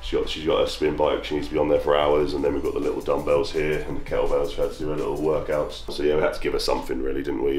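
Deep-voiced man touring his gym. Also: a little mellow. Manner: chatty, matter-of-fact, walking around pointing at kit. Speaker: strongman showing his home gym. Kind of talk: casual gym tour. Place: small wooden home gym barn. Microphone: handheld camera close up. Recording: echoey wooden room with faint music.

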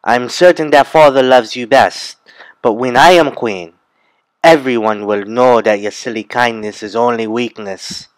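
A man's voice: lowish voice, fairly even intonation, moderate pace, hardly any pauses, no room sound, close to an everyday voice, low-quality recording. A man voicing a greedy, haughty young woman who talks to others with scorn and command. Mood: envious.

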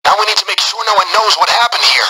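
Sharp, raspy heist contact. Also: female voice, medium pitch, rapid-fire, heavily acted, rough recording. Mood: urgent. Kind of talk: scripted video game character lines.

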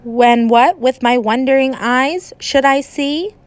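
A woman teacher reading a children's storybook aloud in an expressive, emphatic way with dramatic pauses. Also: high-pitched, smooth, dry recording.

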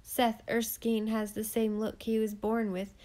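A woman voicing a sharp-tongued, gossiping eccentric woman who mocks her neighbours in church loudly and with scorn. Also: fairly high pitch, level tone, a little slow, close to an everyday voice. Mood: derisive. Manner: loud, derisive, scornful.

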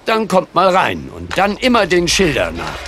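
A man's voice: high-pitched, huge pitch swings, moderate pace, noisy background, full character voice. A man playing a good-natured, matter-of-fact casting show official in an audio drama. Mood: brisk, friendly.

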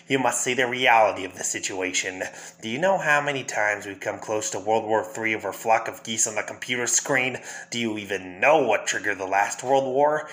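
Guy doing a crazy clown villain from a comic, bright edgy voice, taunting and erratic. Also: medium pitch, lightly acted. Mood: manic ranting. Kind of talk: fan dramatic reading of a comic.

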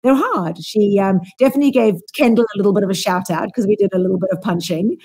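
A woman's voice: mid-range voice, quick pace, darkish tone, slightly rough. Chatty South African woman on a call. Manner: chatty, lots of ums, enthusiastic. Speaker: co-host. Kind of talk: casual chat. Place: small room at home. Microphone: webcam on a video call.

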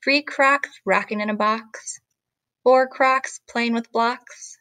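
Slow-paced woman reading to children. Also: fairly high pitch, steady tone, a bit bright, clear, resonant tone, no room sound. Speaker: teacher reading aloud. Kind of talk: picture book read-aloud for children.

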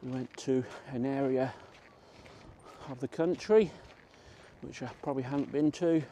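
Middle-aged Englishman, slow easygoing vlog chat. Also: medium pitch, mellow. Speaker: vlogger. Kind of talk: casual walk-and-talk chat. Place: outdoors in a country park. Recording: faint outdoor ambient noise.

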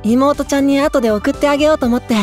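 A sweet, excitable young man with a high boyish voice. It is anime voice drama, with light music behind. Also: quick pace. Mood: sweet, teasing. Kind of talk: Japanese anime-style voice drama.

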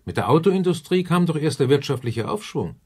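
Indignant, husky political aide. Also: male voice, fairly high pitch, very animated, a little fast, a little mellow. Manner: indignant, complaining, emphatic. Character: indignant male political aide defending the car industry. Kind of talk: radio drama dialogue.

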